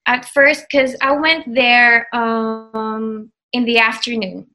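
Slow, bright-voiced Filipino woman. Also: a little high, steady tone. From Philippines. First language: Tagalog. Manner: hesitant, many fillers and restarts, laughs often. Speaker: graduate of an online program. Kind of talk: casual interview.

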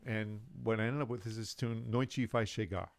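An older man, a musician, telling his story in a relaxed, rambling way with many fillers and restarts. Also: fairly low pitch, moderate pace, creaky, no room sound. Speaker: musician guest. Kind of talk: casual storytelling interview.

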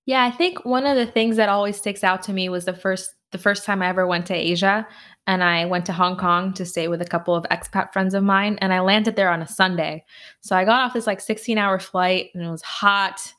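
A young woman answering interview questions casually, telling animated stories with lots of "like" fillers. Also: mid-range voice, a little fast. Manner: animated storyteller with frequent "like" fillers and laughs. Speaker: podcast host and finance blogger, interview guest.

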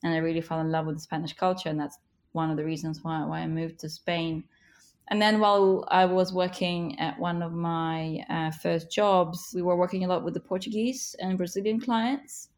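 A woman in her thirties, a native speaker of Russian and Ukrainian, gives interview answers with slow, emphatic stresses. She sounds encouraging and earnest, and her voice sits fairly low. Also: fairly even intonation, darkish tone. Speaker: guest, author and business leader.

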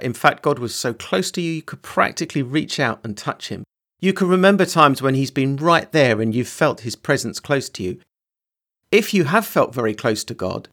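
A man hosting a faith podcast, reading a scripted intro and then chatting with a guest in an earnest, measured way. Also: a little high, fairly bright tone. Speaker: podcast host.

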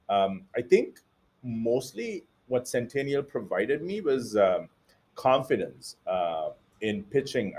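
A man from Sri Lanka, an entrepreneur guest, answering interview questions casually with many fillers and restarts. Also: medium pitch.

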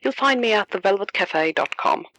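A woman with a Swedish accent, a film blogger reading a friendly, measured segment introducing a horror score, with a slightly wry touch. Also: medium pitch, lively intonation, a bit bright, no room sound, rough recording. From Sweden. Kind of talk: scripted recorded segment.

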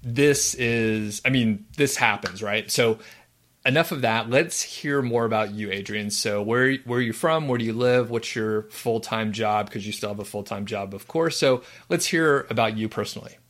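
A man with a low voice hosts a casual interview. He speaks in an upbeat, encouraging way, with the odd filler, asking questions and cheering his guest on. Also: normal speed, fairly bright tone. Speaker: host.

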